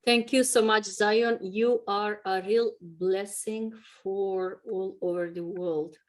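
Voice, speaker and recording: A woman interviewer who speaks haltingly, searching for words as she asks questions and makes remarks. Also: medium pitch, steady tone.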